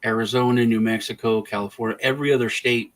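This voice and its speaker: A man from Texas who was raised in Germany, hosting a casual political livestream chat and rambling with halting restarts. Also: mid-range voice, fairly even intonation. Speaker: livestream host.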